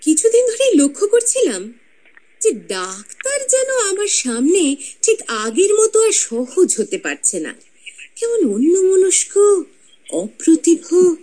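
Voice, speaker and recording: A woman's voice: sits high, warm tone, husky, full character voice, rough recording. An actress playing the ghost of a proud young woman, telling her life story in a radio drama with intimate, teasing narration.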